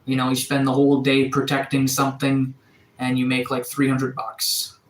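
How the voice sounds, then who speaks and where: A man in his thirties from Atlantic Canada, chatting casually on a live stream, relaxed and rambling with lots of fillers and restarts. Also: medium pitch, moderate pace, echoey room, poor audio. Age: 30s. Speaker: livestreamer. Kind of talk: casual live-stream chat reading viewer comments. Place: sunroom.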